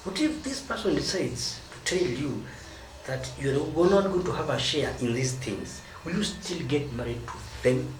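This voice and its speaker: A man's voice: medium pitch, animated, moderate pace. A Kenyan man giving marriage advice as a teaching talk, stressing key words and drawing them out. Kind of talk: teaching monologue. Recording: roomy echo from a distance, noisy.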